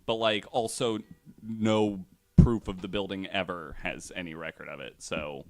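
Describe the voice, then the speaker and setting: A young man hosting a casual podcast, retelling a story in a relaxed way with lots of fillers. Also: deep voice, a little slow. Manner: relaxed, many fillers, voices others' claims with mock quotes. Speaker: podcast host.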